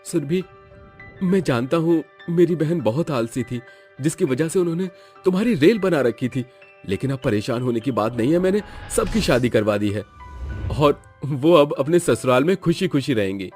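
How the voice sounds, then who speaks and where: A man's voice: a little high, lively intonation, moderate pace, darkish tone, soft background music, lightly acted. A man voicing an earnest, reassuring young husband in a cartoon family drama. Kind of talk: Hindi cartoon story voice acting.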